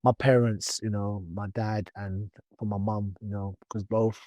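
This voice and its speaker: A man's voice: fairly low pitch, little pitch movement, a little slow, a little mellow, no echo. A man in his thirties whose first language is Twi, talking loosely in an interview as a footballer guest with many fillers. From born in England, raised in Ghana. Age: 30s. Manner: chatty, loose, many "you know" fillers and restarts. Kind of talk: casual interview.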